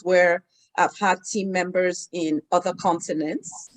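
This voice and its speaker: A woman, a guest in an interview, telling stories in a measured, lively way. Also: mid-range voice, rough recording. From Nigeria. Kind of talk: conversational interview storytelling.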